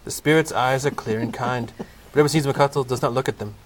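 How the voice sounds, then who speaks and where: A man's voice: medium pitch, quick pace. A man narrating a comedic Christmas audio play from a script, in storybook style. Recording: clearly noisy amateur recording.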